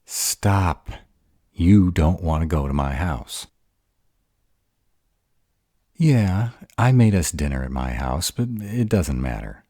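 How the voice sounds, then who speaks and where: Guy doing a super low grumpy were-dragon boyfriend for romance roleplay, slow n hesitant, defensive, unsure of himself. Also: big pitch swings, warm tone, dry recording, studio quality, full character voice. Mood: defensive, sulky. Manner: hesitant, defensive, self-doubting. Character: grumpy, insecure male were-dragon nervously courting his mate. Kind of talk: romantic roleplay audio drama.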